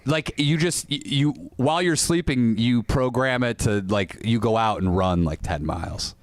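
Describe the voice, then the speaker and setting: A man's voice: mid-range voice, normal speed, no room sound. A man co-hosting a casual comedy podcast, rambling and swearing, often trailing off and restarting. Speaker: podcast co-host.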